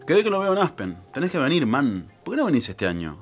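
An actor playing a breezy, insistent, carefree bachelor friend in a naturalistic audio drama, with a Rioplatense Argentine accent. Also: male voice, fairly high pitch, huge pitch swings, fast, soft background music. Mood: egging on. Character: pushy, carefree bachelor buddy, cheerfully scheming.

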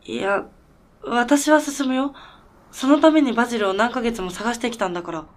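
A woman playing a bewildered but determined snowmobile mechanic in a radio drama, talking casually and haltingly with disbelief. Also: a little high, close to an everyday voice.

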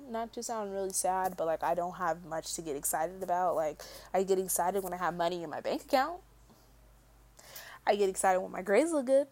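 Soft-spoken rambling young woman. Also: mid-range voice. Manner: rambling, full of likes and restarts; soft-spoken, tends to mumble. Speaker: vlogger. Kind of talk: casual monologue to camera.

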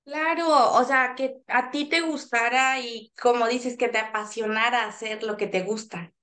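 A woman with a Mexican Spanish accent hosting a casual interview podcast, upbeat and quick with enthusiastic exclamations. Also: a little high, fairly even intonation, sharp tone. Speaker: podcast host.

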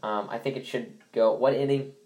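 A young man with a stuffy, congested voice hosts a casual sports podcast. He rambles along, full of ums and restarts, in an everyday mid-range pitch. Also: fairly even intonation, moderate pace, warm tone. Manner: rambling, many fillers and restarts. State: stuffy from allergies. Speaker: podcast host.

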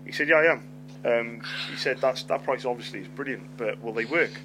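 A man's voice: mid-range voice, a little fast, a little hiss or hum, low-quality recording. A middle-aged man, a lisp and a stammer, telling a story on his podcast, swearing freely and repeating words. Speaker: podcast host. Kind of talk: storytelling monologue. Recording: loud music behind voice.

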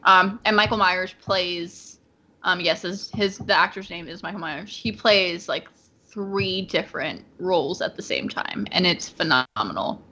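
A woman's voice: lowish voice, unhurried, pushed and bright, lo-fi sound. A woman co-hosting a livestream podcast, bantering casually and teasing her co-host.

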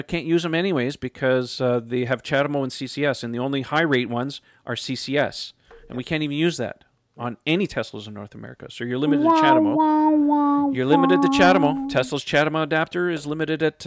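A man's voice: on the high side, lively intonation, fast, no echo. Man, 30s, podcast host; casual and chatty, dry close sound. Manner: chatty, laughs often, frequent fillers. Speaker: podcast host reading listener questions.

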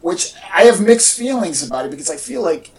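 A man talking casually as a podcast co-host, in a halting, conversational way. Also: high voice, animated. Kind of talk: casual chat about movie news. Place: indoor room with echo. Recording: echoey room, distant from mic.

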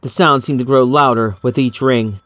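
A man's voice: medium pitch, fairly even intonation, moderate pace, a bit bright, no room sound. A man reading a horror story as an audiobook narrator, in a dramatic scripted style.

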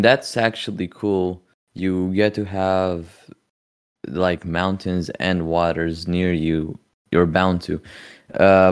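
A man's voice: sits low, darkish tone, smooth. A man hosting a podcast, asking questions in a laid-back, chatty way with casual slang. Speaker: podcast host.

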